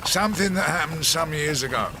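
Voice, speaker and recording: An actor playing a polite, reserved Victorian detective in a radio drama, terse and probing. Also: male voice, a little high, animated, a little fast, background noise, natural voice. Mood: guarded, probing. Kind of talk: naturalistic radio drama acting.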